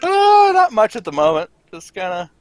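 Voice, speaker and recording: A man's voice: high voice, wildly swooping pitch, moderate pace, slight background noise. A young man chatting casually on a livestream panel in a joking, teasing way. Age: young adult. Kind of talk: casual chat.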